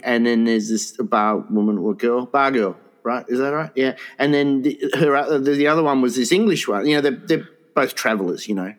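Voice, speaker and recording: A man co-hosting a comedy podcast, telling rambling stories with frequent laughs and restarts. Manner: rambling, giggly, puts on high voices for quotes. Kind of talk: improvised comic banter.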